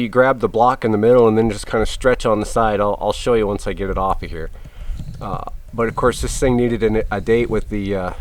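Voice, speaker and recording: A man's voice: medium pitch, darkish tone. A man hosting a gear review, talking casually and thinking aloud as he rambles through a hands-on demonstration. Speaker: host of a radio gear review channel.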